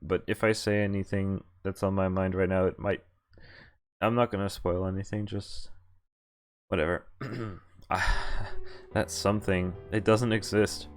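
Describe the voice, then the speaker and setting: A man streaming a visual novel, reading the text aloud and breaking off haltingly into muttered casual commentary. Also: sits low, flat delivery, soft background music. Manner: halting, often pausing to mutter asides. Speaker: game streamer.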